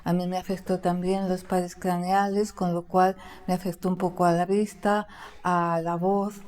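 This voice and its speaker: A woman guest who cannot pronounce the letter R, telling her story plainly with many pauses and fillers. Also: on the low side, flat delivery, darkish tone. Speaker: interview guest. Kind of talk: conversational storytelling.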